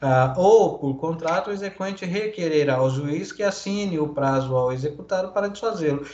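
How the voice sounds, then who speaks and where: A man's voice: on the high side, normal speed, darkish tone, a little hiss or hum. A man with a Brazilian Portuguese accent, a law professor giving an online lecture, reading legal articles aloud and explaining them with many fillers and tag questions. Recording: echoey, dull lo-fi call audio.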